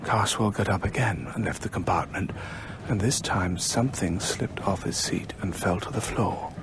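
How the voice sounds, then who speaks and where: An actor playing a British storyteller, narrating a ghost story in a measured, suspenseful radio drama style. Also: male voice, low-pitched, noisy background.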